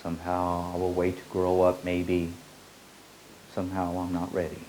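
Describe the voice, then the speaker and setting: A man with a very low voice gives a spiritual teaching, repeating his phrases slowly like an incantation. His pitch barely moves. A faint hiss from an old tape sits under the voice. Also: monotone, darkish tone, low-quality recording. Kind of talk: slow meditative spiritual teaching.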